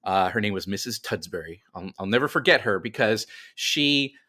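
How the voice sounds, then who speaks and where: A man's voice: lowish voice, expressive, normal speed, bright, forceful tone. A middle-aged man telling stories casually as a guest in a podcast interview.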